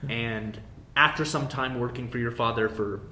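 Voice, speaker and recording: A man narrating a tabletop game as game master in a halting, informal way. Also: mid-range voice, sharp tone. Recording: roomy echo, clear background noise.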